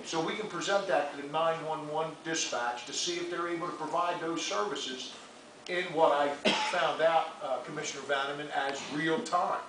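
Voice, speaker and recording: A man's voice: a little high, normal speed. Echoey, distant man speaking at a public meeting. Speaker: mayor. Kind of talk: public meeting remarks, explaining. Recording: very echoey room, distant mic, noisy.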